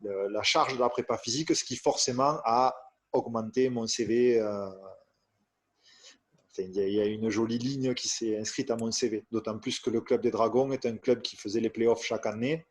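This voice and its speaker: A man's voice: mid-range voice, steady tone, normal speed. French man, hesitant storytelling guest. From France. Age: 30s. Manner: hesitant, many fillers and restarts. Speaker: strength coach, podcast guest. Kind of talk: casual interview storytelling.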